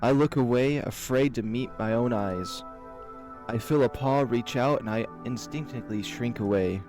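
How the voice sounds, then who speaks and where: A man who hosts a channel, reading a visual novel aloud in a slow, measured, dreamy way. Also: medium pitch, a little mellow, soft background music. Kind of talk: dramatic reading of game text.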